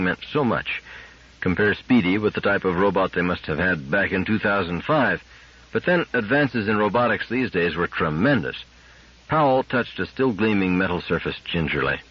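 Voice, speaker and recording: Husky, animated male story narrator. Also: deep voice, highs cut off. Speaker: audiobook narrator. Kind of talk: dramatic scripted fiction narration.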